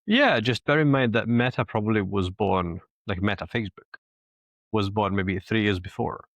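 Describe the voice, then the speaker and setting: A man in his thirties from Italy, speaking English with an Italian accent touched by British, talking haltingly with many restarts as a podcast guest. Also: on the low side, animated, no room sound. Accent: Italian with British influence. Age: 30s. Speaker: podcast guest, agency COO. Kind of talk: casual interview talk.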